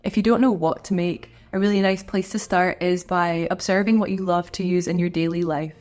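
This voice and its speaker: A woman's voice: lowish voice, steady tone, brisk, darkish tone, soft background music. A woman artist chatting to camera in an encouraging, friendly way, sounding a little stuffed up from a cold. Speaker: artist and video creator. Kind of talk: casual talk to camera.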